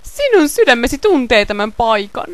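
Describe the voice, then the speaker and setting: A cruel, mocking male scientist villain from a video game fandub, acted by a woman. The voice is fairly high and swings widely in pitch, theatrical and ominous, in a very dry recording. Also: very animated, no room sound. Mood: ominous, taunting. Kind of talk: amateur game fandub acting.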